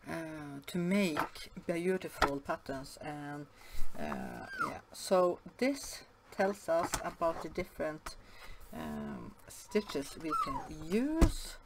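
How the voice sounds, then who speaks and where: A Norwegian woman, English not her first language, speaking in a casual vlog with many pauses, fillers and restarts. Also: fairly low pitch, wildly swooping pitch, very noisy. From Norway. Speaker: yarn vlogger. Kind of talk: casual show-and-tell vlog. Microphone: camera above the table, hands only.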